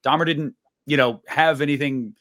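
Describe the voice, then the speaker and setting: A man's voice: fairly high pitch, fairly even intonation, moderate pace, a bit bright. A man talking casually and giving his opinions as a panelist in a podcast discussion.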